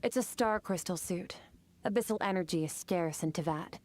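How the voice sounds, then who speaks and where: A woman voicing a stoic, aloof young warrior in a video game, cool, terse and deadpan. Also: on the low side, normal speed, music in the background, natural voice. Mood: matter-of-fact. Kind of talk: video game character acting.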